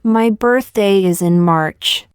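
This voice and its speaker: A woman reading short practice sentences aloud for learners, saying each one twice, slowly and carefully. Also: medium pitch, smooth, no room sound, studio quality. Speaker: English teacher.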